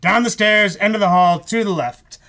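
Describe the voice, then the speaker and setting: A man playing a fretful, hurried studio boss in a live old-time radio drama, stammering and repeating himself. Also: high voice, background noise. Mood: reluctant.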